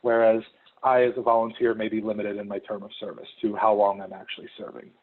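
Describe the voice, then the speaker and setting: A young man from Ohio giving a relaxed, conversational presentation about his volunteer work, with frequent ums. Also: fairly low pitch, fast talker. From Ohio, United States. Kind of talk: information session talk. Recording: phone-like narrow sound.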